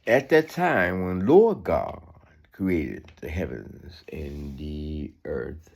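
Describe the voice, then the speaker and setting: Very deep-voiced man reading and expounding scripture. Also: lively intonation, extremely slow, gravelly, darkish tone, breathy edge. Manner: emphatic, repeats phrases for emphasis; conversational asides seeking agreement. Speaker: Bible reader giving commentary. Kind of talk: scripture reading with informal sermon remarks.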